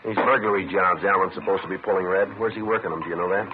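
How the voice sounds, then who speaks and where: Radio police sergeant, male actor: American man, very deep, dry, clipped, businesslike, narrow old-broadcast sound. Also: fast talker, music in the background, close to an everyday voice. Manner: deadpan, clipped, matter-of-fact. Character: sober, businesslike male police sergeant narrating his cases. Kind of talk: 1950s radio crime drama narration and dialogue. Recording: narrow, tinny vintage radio recording.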